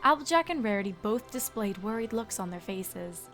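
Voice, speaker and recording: A woman's voice: mid-range voice, animated, moderate pace, a bit bright. Woman narrating a story over music.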